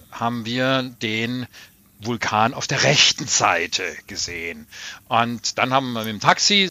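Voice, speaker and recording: A middle-aged man with a bright, forward voice at an average pitch. He talks as a podcast host, telling anecdotes in a loose, conversational way. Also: no room sound. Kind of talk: casual podcast chat and storytelling.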